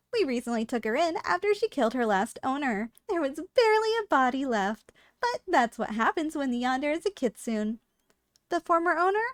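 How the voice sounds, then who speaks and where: Woman acting as high-voiced, polite female shop clerk. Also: no echo. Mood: dry, matter-of-fact. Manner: formal, courteous salesperson patter with dry understatement. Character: polite, businesslike female shop clerk selling dangerous yandere girls. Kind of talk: scripted ASMR roleplay addressed to the listener.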